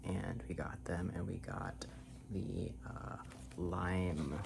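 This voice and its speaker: A very creaky, low-pitched voice, drifting between soft speech and breathy whispers. The delivery is a slow, playful ASMR ramble with a mock-grandiose streak, spoken close to the mic. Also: flat delivery. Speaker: ASMR video creator.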